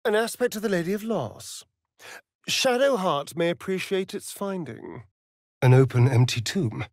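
A man's voice: sits high, lively intonation, measured pace, darkish tone, no room sound. A mocking vampire elf rogue for a fantasy game, speaking in an English accent with swooping, theatrical intonation. He takes his time over each phrase, languid, vain and teasing. The sound is dry and close, with no room on it. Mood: dry, wry.

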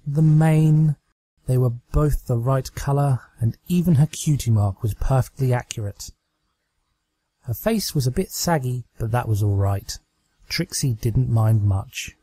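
Husky, mellow male story narrator. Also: mid-range voice, no room sound. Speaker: amateur story reader. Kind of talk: scripted fan-fiction narration.

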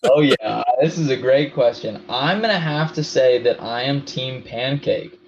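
A man from Hawaii speaks as a podcast guest in an upbeat, agreeable, casual way. His pitch is average for a man. The audio is somewhat muffled, like a remote call, with faint noise behind him. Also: unhurried. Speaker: podcast guest, app company CEO. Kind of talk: casual interview chat.

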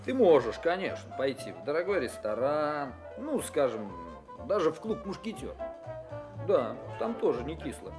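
A man's voice: fairly high pitch, big pitch swings, normal speed. An actor playing a chatty, easygoing, streetwise working man in a Russian radio drama, talking in breezy colloquial bursts. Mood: relaxed, boastful. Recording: music playing behind the voice.

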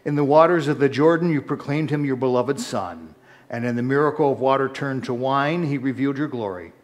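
A middle-aged man, a pastor, leading intercessory prayers at the altar of a large church, in a measured, solemn intoning manner that lifts for the liturgy. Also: mid-range voice. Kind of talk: liturgical prayer and chanted-style preface. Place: church sanctuary, indoors, large. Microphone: far camera, altar mic.

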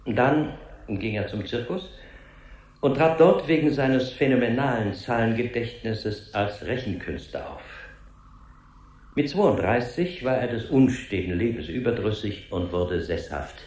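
Man playing a slow, ponderous statesman, echoey room. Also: medium pitch, animated, darkish tone, close to an everyday voice. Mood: grave, official. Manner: measured, ponderous, official. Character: solemn male Secretary-General reporting gravely on a staff file. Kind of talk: formal briefing in 1970s radio drama.

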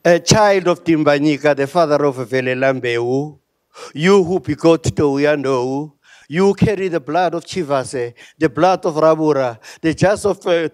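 A man reciting a praise poem in a declamatory, chanting delivery, holding a handheld mic in a conference room. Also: a little high. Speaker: praise poet. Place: conference room with seated audience.

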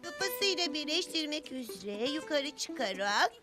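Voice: high-pitched, big pitch swings, moderate pace. An actor voicing a young, cheerful, polite cartoon character who explains things step by step in a children's show. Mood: cheerful explaining. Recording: music playing behind the voice.

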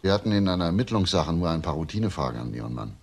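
An actor playing a probing, insistent police detective with a gravelly voice in a crime drama. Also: male voice, deep voice, very animated, rapid-fire, darkish tone, full character voice. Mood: grave, measured. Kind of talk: German TV crime drama dialogue.

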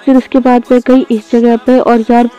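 A woman's voice: a little high, flat delivery, normal speed, warm tone, smooth, dull audio. A woman, giving a casual, chatty voice-over for a daily vlog. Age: 20s. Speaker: vlogger. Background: faint music.

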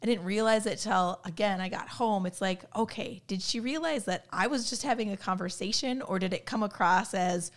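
A woman's voice: medium pitch, moderate pace, hardly any pauses. A woman in her thirties with a cold, co-hosting a veterinary podcast in candid, chatty talk full of "like". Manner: candid, chatty, heavy use of "like". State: has a cold, voice worn. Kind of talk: casual podcast discussion. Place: home room, indoors. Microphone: studio mic on boom arm, headphones.

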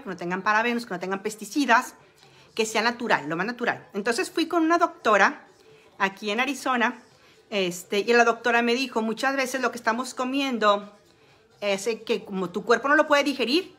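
A chatty woman talks straight to her phone camera in a small room at home. Her voice sits a little high and sounds bright and forward. She rambles casually, filling pauses with fillers as she shows products. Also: lively intonation. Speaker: beauty and wellness vlogger. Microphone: phone camera at arm's length.

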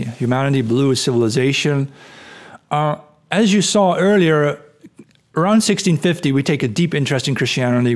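Middle-aged male podcast host, hoarse, rambling explainer with restarts. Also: medium pitch. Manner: thinking aloud, many fillers and restarts. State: hoarse, voice cracking, clearing throat. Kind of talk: casual explanatory talk over screen share. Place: indoor room at home. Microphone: studio mic on boom arm.

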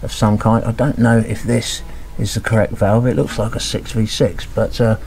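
A man's voice: lowish voice, level tone, moderate pace, creaky, darkish tone, a little hiss or hum. A man, casually narrating as he inspects an old radio in his workshop, thinking aloud with frequent ums and hesitations. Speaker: radio restorer. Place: cluttered home workshop, indoors.

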